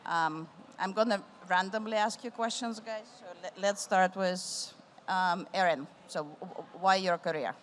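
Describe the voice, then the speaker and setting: A middle-aged woman moderating a live panel on a conference stage, speaking warmly and rambling with restarts. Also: mid-range voice, unhurried, a little hiss or hum.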